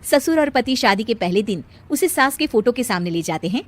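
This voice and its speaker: High-voiced woman narrating a story. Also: animated, a little fast. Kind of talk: scripted storytelling narration for a cartoon.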